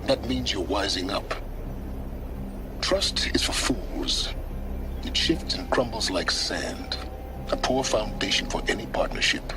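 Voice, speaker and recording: Cunning game mentor, lowish voice, pragmatic, lofty didactic wording, loud music behind. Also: male voice, animated. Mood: cool, calculating. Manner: measured, lofty, didactic phrasing. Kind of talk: video game cutscene acting.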